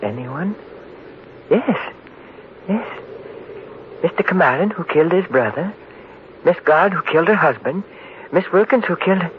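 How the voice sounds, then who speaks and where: An actor playing a guilt-ridden, haunted man in a 1940s radio drama, speaking in halting, repeated broken phrases on a dull, noisy old recording. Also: fairly high pitch, lively intonation, natural voice. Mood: desperate confession. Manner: halting, repetitive, broken phrases, muttering to himself. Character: haunted, guilt-ridden man, a wife-murderer trapped in a moonlit canyon.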